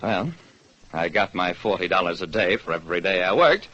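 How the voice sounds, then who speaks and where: A man's voice: low-pitched, animated, normal speed, pushed and bright, heavily acted. An actor playing a hard-luck, wry, world-weary private detective who narrates his case in an old radio drama. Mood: resigned, rueful. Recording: dull, noisy old radio recording.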